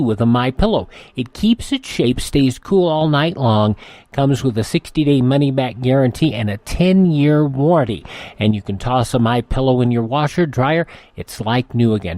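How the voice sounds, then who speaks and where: A man's voice: mid-range voice, warm tone, no room sound. A man hosting radio, announcing and reading endorsements with folksy direct address. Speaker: radio show host.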